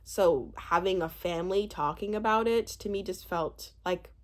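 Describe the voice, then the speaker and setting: A woman in her twenties giving a casual, chatty recap commentary, animated and rambling, often breaking off mid-thought. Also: on the low side, moderate pace. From born in Uganda, spent part of childhood there. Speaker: YouTube host reviewing a reality show.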